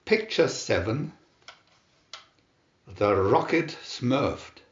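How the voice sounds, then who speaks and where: Very slow, animated male storybook reader. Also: medium pitch, roomy sound, muffled recording. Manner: slow, deliberate, expressive storytelling delivery. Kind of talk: scripted children's story narration.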